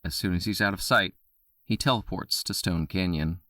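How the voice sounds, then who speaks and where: A man narrating an audio drama in a steady storytelling voice. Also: sits low, moderate pace.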